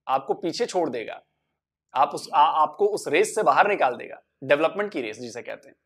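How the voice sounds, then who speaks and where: A man talking earnestly to the camera, measured and persuasive, building his points through repetition. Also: a little high, a bit bright.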